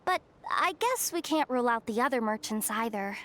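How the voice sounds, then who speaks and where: A woman voicing a small, excitable female game companion with a squeaky, childlike voice and an exclamatory manner. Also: fairly quick. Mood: chatty, knowing. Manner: exclamatory, animated. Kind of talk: video game character dialogue.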